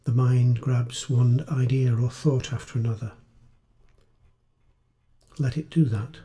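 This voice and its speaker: A man leading a guided meditation as a teacher, speaking in a gentle, soothing, unhurried way with pauses. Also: mid-range voice, steady tone.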